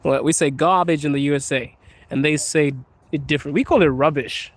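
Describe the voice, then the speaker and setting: A man from Zimbabwe chats casually with his live viewers while walking outside in the cold, reading their comments aloud. His voice sits a little high, and he keeps laughing and joking as he goes, recorded on a handheld phone. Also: fairly even intonation, brisk, no echo. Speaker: livestreamer. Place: outdoors in a cold city street.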